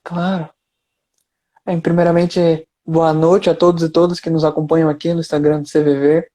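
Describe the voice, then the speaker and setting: A man's voice: a little high, little pitch movement, normal speed, dark tone. Young man with an Amazonas accent. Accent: Amazonas Portuguese. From Amazonas, northern Brazil. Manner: courteous, speaks of faith often. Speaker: guest speaker. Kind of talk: casual chat on a live video call. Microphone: video call.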